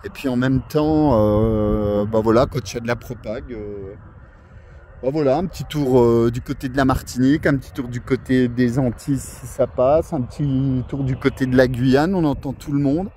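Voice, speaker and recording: A man's voice: medium pitch, moderate pace, warm tone, slight background noise. A man chatting casually as an amateur radio operator, musing and hesitating in a friendly way, recorded outdoors. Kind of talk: casual running commentary. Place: outdoors at a hilltop radio spot.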